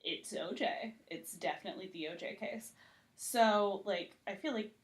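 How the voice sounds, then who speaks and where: A woman's voice: mid-range voice, normal speed. Casual woman as an opinionated podcast guest. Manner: informal, conversational, opinionated.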